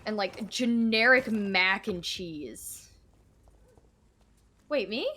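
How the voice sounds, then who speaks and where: Lively, bright-voiced young woman streamer. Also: medium pitch, drawn-out words, slightly rough. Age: 20s. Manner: joking, chatty, answering chat. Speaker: game streamer. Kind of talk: casual live gameplay chat.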